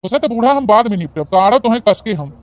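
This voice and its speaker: A woman's voice: mid-range voice, expressive, fast talker, a little mellow, dry recording, dull audio, lightly acted. A woman voicing a bossy, impatient old father-in-law in an Awadhi comedy cartoon, scolding and blustery, with an Awadhi accent. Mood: grumbling.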